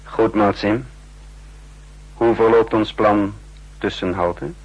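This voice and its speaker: Husky, commanding old-radio spy chief. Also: male voice, fairly low pitch, steady tone, slow pace, dull audio, full character voice. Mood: cool, scheming. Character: brisk scheming male counterespionage chief, sardonic and commanding. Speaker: narrator and lead character. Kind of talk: 1960s Dutch radio play acting. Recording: husky-sounding old 1960s radio recording.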